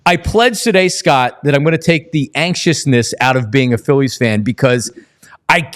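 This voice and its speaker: A man's voice: medium pitch, moderate pace. A man hosting a casual sports talk interview, talking in wordy, rambling questions with false starts. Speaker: sports show host.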